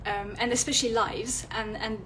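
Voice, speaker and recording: A woman with a French accent, French her first language, giving tips on a live video and pausing often with fillers and restarts. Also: on the high side, fairly even intonation, pushed and bright, a little hiss or hum. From France. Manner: hesitant, many fillers and restarts. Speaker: illustrator and vlogger. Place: indoors at home, near a street.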